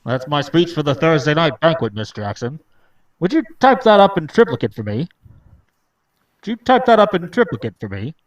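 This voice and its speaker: A man's voice: on the high side, sing-song, moderate pace, dull audio. Guy doing a pompous banker speechifying for radio drama, swings around a lot, bit far from mic. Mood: businesslike. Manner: pompous, oratorical. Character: pompous male bank president dictating a speech. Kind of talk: live table read of old radio drama.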